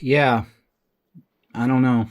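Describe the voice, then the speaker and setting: A man's voice: medium pitch, fairly even intonation, slow pace, a little hiss or hum, natural voice. A man playing a popular teenage jock in an audio drama, concerned and direct.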